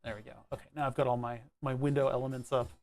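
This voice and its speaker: A man's voice: mid-range voice, flat delivery, rapid-fire. A man chatting casually on a live stream about his setup. He rambles easily, chuckles often, and talks into a lapel mic in his workshop. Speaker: livestreamer.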